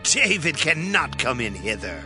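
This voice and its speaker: A man's voice: medium pitch, very animated, over music. An actor playing a scornful, taunting defender of an ancient city in an audio Bible drama, jeering as he speaks. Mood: mocking. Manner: jeering, drawn-out.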